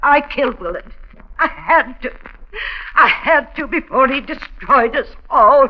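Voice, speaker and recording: A woman's voice: high-pitched, expressive, measured pace. A woman playing a distraught murderess confessing, in dramatic 1950s radio acting. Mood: anguished confession. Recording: muffled, crackly old radio recording.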